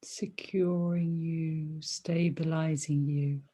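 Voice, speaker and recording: A woman leading a guided meditation as a teacher, speaking softly and soothingly in short phrases with long pauses between them. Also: low-pitched, level tone. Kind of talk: guided meditation, body scan.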